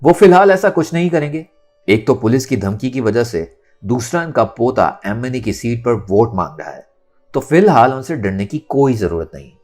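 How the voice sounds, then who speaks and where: Jovial flirt of a lawyer, about sixty, voiced by a male narrator: teasing, lively intonation, soft music. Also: medium pitch, moderate pace, pitched above the performer's own voice, close to an everyday voice. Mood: reassuring. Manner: playful, teasing. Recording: music under the voice.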